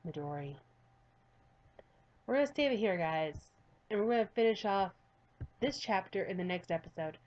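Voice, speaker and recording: A woman's voice: mid-range voice, expressive, normal speed, pauses often, a little mellow, muffled recording. A woman reading a visual novel aloud with rambling, chatty commentary from a home desk mic. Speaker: gaming youtuber.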